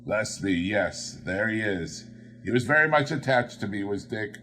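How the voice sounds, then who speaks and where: A man voicing an old man deeply moved by his past, exclaiming and musing in a storybook reading. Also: expressive, measured pace, pushed and bright, soft background music. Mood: tender, wistful.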